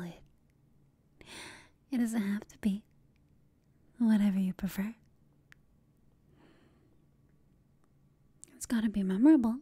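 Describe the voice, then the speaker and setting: Casting: actress as teasing female villain, ASMR roleplay. Very breathy whisper, soft mellow tone, coaxing and confident, close and dry. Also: a little high, exaggerated voice. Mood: teasing, reassuring. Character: flirtatious, teasing female villain, confident yet secretly inexperienced. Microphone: close mic, dry.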